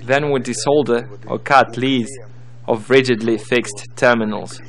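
Male tutorial narrator, mid-pitched, reading scripted instructional material. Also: steady tone, a little slow.

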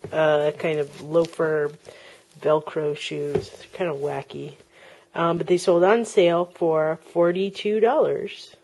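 A woman with a slightly low voice talks slowly through a casual show-and-tell of things she has resold. She fills her pauses with ums and uhs, and she sounds relaxed and homey, speaking from behind the camera at a table. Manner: chatty, with frequent ums and uhs. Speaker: online reseller. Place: home, tabletop filming. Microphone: handheld camera close-up, speaker off-camera.

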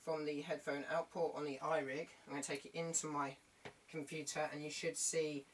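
A young man hosts a casual tech tutorial in a fairly high voice. He is picked up from a little way off in a small bedroom, with noticeable room noise behind him. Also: level tone, normal speed. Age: young adult. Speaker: video tutorial host. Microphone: camera across the room. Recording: distant camera mic with room noise.

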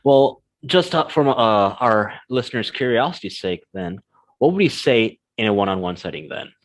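Deep-voiced American man, easygoing host. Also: moderate pace. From United States. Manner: relaxed, chatty, many fillers. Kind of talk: casual language lesson chat.

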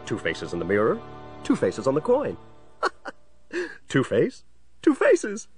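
An actor playing a tormented district attorney cracking into a villain, brooding and theatrical, in a superhero audio drama. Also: male voice, high-pitched, huge pitch swings, very slow, long pauses, darkish tone, faint music behind, exaggerated voice. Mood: deranged. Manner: brooding, theatrical, dragging out words. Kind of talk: full-cast superhero audio drama acting.

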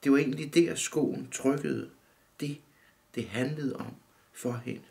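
A man in his fifties calmly reading his own short story aloud in a dim room, measured and steady. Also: medium pitch, gravelly. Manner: calm, measured reading, often looking down at the text. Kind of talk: literary reading.

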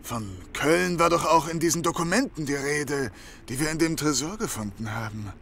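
A man's voice: fairly high pitch, normal speed, creaky, a bit bright, a little hiss or hum. An actor playing an eager, loyal henchman to a gang boss in a German radio play.

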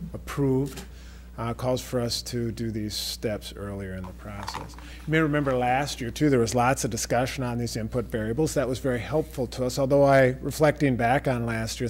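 A man's voice: medium pitch, slight background noise. Middle-aged man explaining a budget process. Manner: steady, explanatory. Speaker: district administrator. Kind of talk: budget presentation. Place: board meeting room. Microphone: desk mic.

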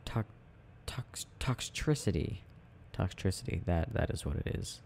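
A young adult man rambling softly and casually in a quiet, sleepy murmur as he plays a game, in an ASMR style. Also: sits low, expressive, measured pace, vocal fry. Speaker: audio roleplay creator. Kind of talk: soft casual rambling while gaming, ASMR sleep aid. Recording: faint background noise.

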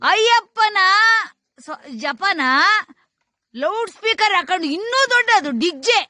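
A woman's voice: very high-pitched, animated, a little slow, sharp tone, no echo, studio quality. A woman with a rural southern Karnataka accent talking casually on the spot, in a scolding way full of repeated questions. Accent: Kannada, rural southern Karnataka. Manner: scolding, repetitive rhetorical questions. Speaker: vlogger. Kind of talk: casual spoken commentary and argument to people on the spot. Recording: live location with crowd noise behind. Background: crowd.

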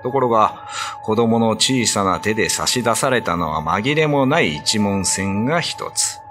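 A man's voice: lowish voice, animated, unhurried. A man narrating a ghost story in a dramatic radio drama reading. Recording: music under the voice. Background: background music.

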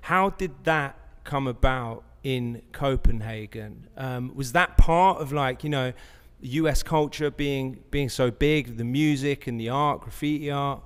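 A man's voice: mid-range voice, unhurried, few pauses, clear, resonant tone, long reverb tail. A man hosting a podcast interview, asking casual questions full of fillers. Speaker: podcast host. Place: large bright studio, indoors. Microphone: stand mic.

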